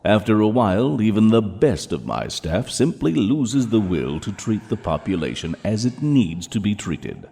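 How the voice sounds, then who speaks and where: A suave Satan, low and mellow, with long cavernous reverb around his formal speech. He is sinister yet courteous, every phrase measured, in audio drama style. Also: very animated, full character voice. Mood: smooth, calculating. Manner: formal, measured, smoothly polite.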